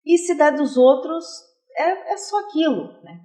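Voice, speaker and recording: A woman with a Brazilian accent, a theater actress telling a story in a casual TV interview, animated and full of restarts. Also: high voice, measured pace. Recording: roomy echo.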